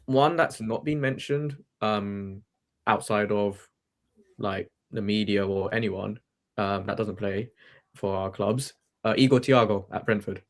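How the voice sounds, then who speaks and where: A man's voice: on the low side, unhurried. A man speaking casually as a panelist on a football podcast. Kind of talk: casual chat.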